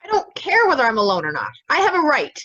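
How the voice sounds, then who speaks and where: A woman's voice: high-pitched, wildly swooping pitch, quick pace, fairly bright tone, exaggerated voice. A young woman playing a stubborn, hot-tempered juror in an amateur audio drama, combative and blunt, on a slightly dull recording. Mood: defiant. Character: stubborn, hot-tempered juror dead set on a guilty verdict.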